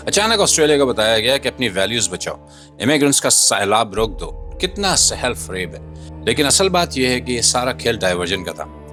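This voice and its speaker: A man's voice: fairly low pitch, pushed and bright. A man delivering a scripted political commentary voice-over in a dramatic, rhetorical, emphatic manner. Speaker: video commentator and narrator. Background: music bed.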